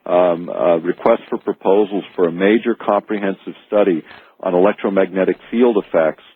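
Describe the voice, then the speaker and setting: An American man speaking as an author guest in a radio interview, explaining earnestly and haltingly, repeating words as he goes. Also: deep voice, slightly rough, dry recording. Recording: narrow phone-like archive radio audio.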